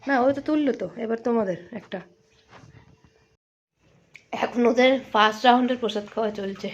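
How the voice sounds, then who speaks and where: A woman's voice: fairly high pitch, moderate pace. A young woman, chatting informally to viewers as she narrates a casual family vlog. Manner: chatty, informal, mixes in English greetings. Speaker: vlogger. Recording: dull lo-fi home video, faint noise.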